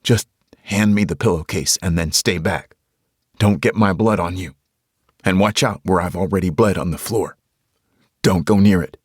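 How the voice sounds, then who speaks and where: A man voicing a wounded, resolute tough man who talks gruffly and bluntly. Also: deep voice, moderate pace, pauses often, no echo, studio quality. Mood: urgent.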